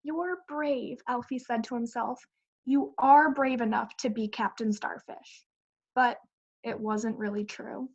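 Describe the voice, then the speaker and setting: Female teacher, fairly high voice, picture-book narration for kids, muffled lo-fi audio. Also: fairly even intonation, measured pace. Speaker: teacher reading aloud to children. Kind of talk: children's picture book read-aloud. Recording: dull lo-fi audio.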